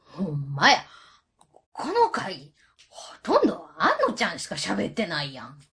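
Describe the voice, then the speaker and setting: Husky, blunt Kansai woman played by an actress. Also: lowish voice, huge pitch swings, a little slow, a bit bright, full character voice. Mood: critical, curious. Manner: blunt, teasing, questioning. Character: blunt, quick-to-quip Osaka woman at work. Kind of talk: radio drama acting, casual dialogue.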